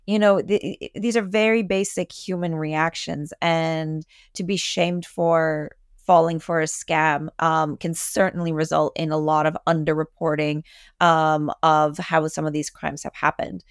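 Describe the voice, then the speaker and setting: A woman gives expert commentary in a conversation, earnest and emphatic. Her voice is on the low side with plenty of vocal fry, recorded dry, and her wording is articulate. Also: flat delivery, unhurried, no echo. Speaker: fintech industry expert guest.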